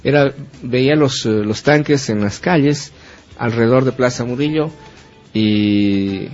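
A man's voice: medium pitch, a little slow, darkish tone. A man, co-hosting a radio show, agreeable and hesitant with many fillers. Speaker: radio co-host. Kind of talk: casual radio talk. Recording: dull lo-fi radio with faint music.

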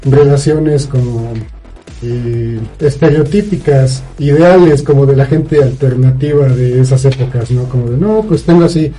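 A man's voice: mid-range voice, fairly even intonation, unhurried, dark tone, soft background music, poor audio. A man with a Mexican accent chatting casually as a podcast co-host, recounting a story in a rambling, colloquial way with frequent tag questions. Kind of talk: casual chat, recounting a film plot.

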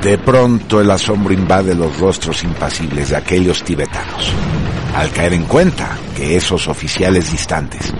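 A man narrating a radio drama in a solemn, measured, literary manner. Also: sits low, heavy background noise.

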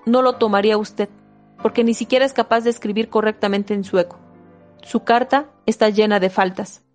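A woman giving a steady, measured reading of a self-help book, with a few small slips and restarts. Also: on the high side. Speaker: audiobook reader.

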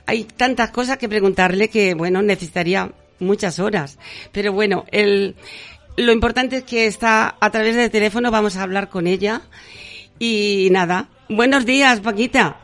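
A woman's voice: medium pitch, fairly bright tone. An older woman hosting a radio interview from the studio, talking with many fillers and restarts. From Andalusia, Spain. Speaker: radio host.

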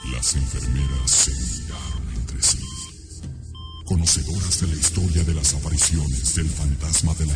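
A man with a Mexican accent narrating a horror radio drama as a grave, ominous storyteller. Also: extremely low pitch.

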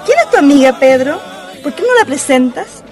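A woman doing a sly young woman plotting payback, high voice, radio play over faint music. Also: sing-song, moderate pace. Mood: taunting. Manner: scheming, pointed. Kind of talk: community radio drama acting.

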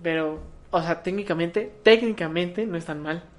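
A man's voice: on the high side, normal speed. A man with a Mexican accent co-hosting a podcast in casual chat, teasing his friend and swearing casually. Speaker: podcast co-host.

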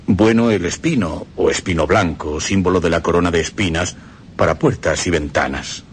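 A man's voice: deep voice, moderate pace, slight background noise. A man giving emphatic, instructive scripted narration for radio.

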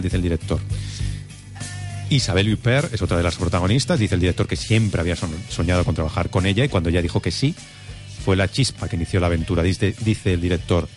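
Brief: low-voiced male film-show host, rapid and jokey. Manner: fast, joking, argues then reads synopses. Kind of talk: film review radio chat.

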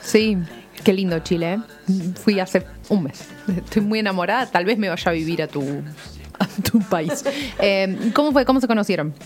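A woman with an Argentine accent hosting a casual interview, chatty and teasing, asking quick follow-up questions. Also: medium pitch, soft background music. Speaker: host.

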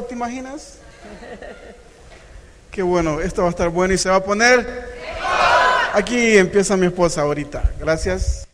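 A man's voice: high-pitched, a little hiss or hum. A man giving a rousing motivational speech at a business event, calling the audience champions. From Central America. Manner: rousing, addresses the crowd as champions. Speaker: business conference speaker. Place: large conference hall stage. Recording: long hall reverb, faint crowd ambience.

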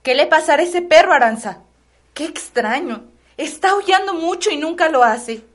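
A woman's voice: high-pitched, expressive, moderate pace. A woman acting a doting, devout middle-aged mother in a radio soap opera, with a Mexican accent and a tender, melodramatic delivery. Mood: puzzled, uneasy.

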